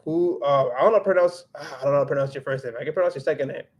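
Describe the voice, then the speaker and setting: A man's voice: fairly high pitch, rapid-fire, darkish tone. A young man hosting a casual livestream, chatting loosely, with many restarts and fillers. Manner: rambling with frequent restarts and "like". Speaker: livestream host reading chat. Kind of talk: casual livestream chat, mixing English and Spanish.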